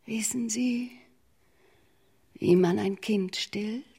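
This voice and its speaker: A woman's voice: mid-range voice, extremely slow, warm tone, breathy edge, heavily acted. A woman playing a melodramatic, clinging mother in a German radio play, declamatory and imploring. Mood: solemn, declamatory.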